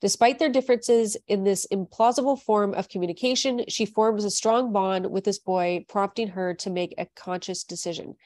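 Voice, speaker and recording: A cheerful woman from Canada hosts a relaxed author interview, laughing between her words and tripping into restarts. Her voice sits in a middle pitch and is recorded close and dry, with no room sound around it. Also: moderate pace, few pauses, no room sound. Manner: bubbly, laughs often, restarts sentences. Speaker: podcast host. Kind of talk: casual interview chat.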